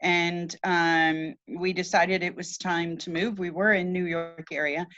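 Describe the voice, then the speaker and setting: A woman in casual spiritual conversation, speaking earnestly and haltingly with emphatic repeats as a show host. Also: fairly low pitch, steady tone, fairly bright tone. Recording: dull, lo-fi audio.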